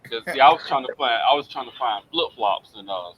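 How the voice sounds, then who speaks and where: A man's voice: medium pitch, animated, fairly quick, fairly bright tone, a little hiss or hum, poor audio. A man in a casual group chat, telling loose stories full of restarts. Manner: loose storyteller, lots of "like" and restarts.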